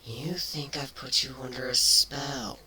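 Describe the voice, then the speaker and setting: A performer voicing a feisty, defensive female changeling with a sharp tongue in a dramatic story reading. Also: mid-range voice, steady tone, unhurried, crowd noise, natural voice, rough recording. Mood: wounded, defensive. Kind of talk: dramatic fan fiction reading.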